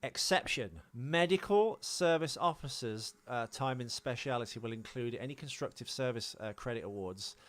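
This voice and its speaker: A man co-hosting a podcast, reading trivia and chatting about a film in a halting way with dry humour. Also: medium pitch, lively intonation, a bit bright. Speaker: podcast co-host.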